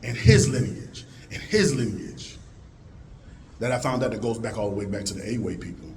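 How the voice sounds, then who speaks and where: Deep-voiced male conference speaker, animated motivational delivery, faint hall hum. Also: normal speed. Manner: animated, rousing, swings from loud calls to hushed low tones. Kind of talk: motivational talk to a live audience.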